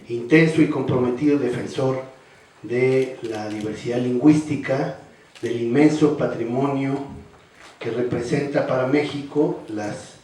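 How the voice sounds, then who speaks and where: An older man giving a measured formal speech as a panelist, often ending phrases with a questioning "no". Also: mid-range voice, warm tone. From Mexico. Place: large hall. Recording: echoey hall, voice from far off.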